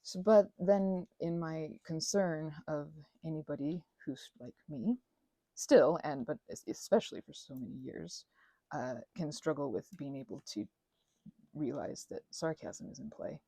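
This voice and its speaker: A woman's voice: sits low, a little slow, warm tone, no room sound. A woman in her thirties rambling casually and digressively, with a sarcastic, self-deprecating streak. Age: 30s. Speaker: crafting vlogger and ASMR creator.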